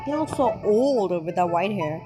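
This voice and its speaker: Female gameplay commentator, casual and unscripted, mid-range voice, with loud music underneath. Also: mid-range voice, animated, moderate pace. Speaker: gaming video creator. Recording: loud game music behind voice. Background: game music and sound.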